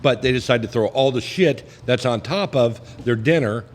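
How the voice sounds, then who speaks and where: A man's voice: medium pitch, moderate pace. A man hosting a casual podcast chat with a wry, sarcastic and sometimes profane way of talking. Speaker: podcast host. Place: indoor cigar lounge. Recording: faint background noise.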